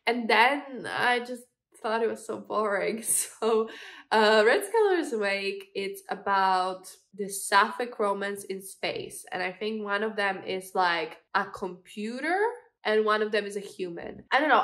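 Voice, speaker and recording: A woman's voice: medium pitch, fairly bright tone. Young woman host, stuffy cold voice, chatty with fillers, casual review talk. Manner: chatty, frequent fillers, laughs while talking. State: congested from a cold. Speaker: booktube host. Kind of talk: casual book review chat.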